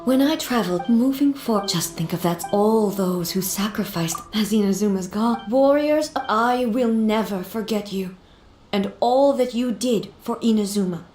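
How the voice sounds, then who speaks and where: An actress as a regal female warrior god from a video game. She speaks in formal, measured phrases with grave composure. Her voice carries a clear echo over music. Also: medium pitch, a little mellow, natural voice. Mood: solemn, moved.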